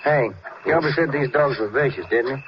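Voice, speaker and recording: An actor playing an easygoing, wry police detective partner with a casual manner in an old radio drama. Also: male voice, mid-range voice, brisk, natural voice. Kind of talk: 1950s radio drama acting. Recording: muffled, noisy old radio recording.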